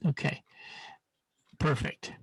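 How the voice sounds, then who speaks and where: A man's voice: takes it slowly, no room sound, clean studio sound. A man leading an informal live online science demonstration, repeating words for emphasis and often checking in with the listeners.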